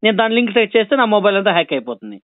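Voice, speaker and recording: Give the voice of a man arguing as a borrower on a phone call. He speaks extremely fast in a high voice, sarcastic and insistent, often repeating his point. The sound is narrow and thin, like a recorded phone line. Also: very animated, no room sound. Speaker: borrower on a debt collection call. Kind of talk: argumentative phone conversation.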